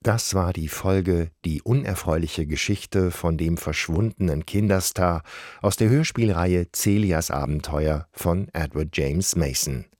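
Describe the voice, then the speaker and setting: A man's voice: sits low, a little slow, darkish tone, no room sound, studio quality. A man reading scripted closing credits as a formal radio announcer.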